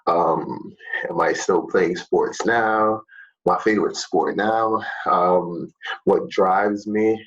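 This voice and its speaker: Deep-voiced teacher chatting with his students. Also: lo-fi sound. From Jamaica. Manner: relaxed, chatty, many fillers. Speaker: fitness teacher. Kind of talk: casual talk to students.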